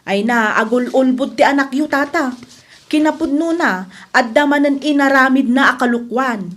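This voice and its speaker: A woman's voice: high voice, moderate pace, fairly bright tone. A woman with an Ilocano Filipino accent playing a gossiping, resentful young tell-tale in a radio drama. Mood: gossipy, accusing.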